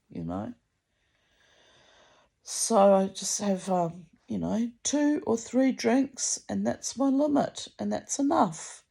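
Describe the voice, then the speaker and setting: A middle-aged woman with a deep, gravelly voice, rambling candidly in a sweary, dramatic, confessional monologue. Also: dark tone. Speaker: personal vlogger.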